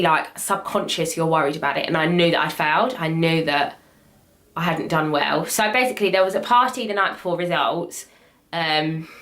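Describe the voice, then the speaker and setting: A young woman in her twenties talks openly to a camera set up in her small bedroom. Her voice sits a little low for a woman, and she keeps her speech loose and chatty, full of "like" and restarts. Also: fairly bright tone. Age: 20s. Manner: chatty, candid, frequent "like". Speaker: vlogger. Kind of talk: candid talk to camera. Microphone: camera at desk distance.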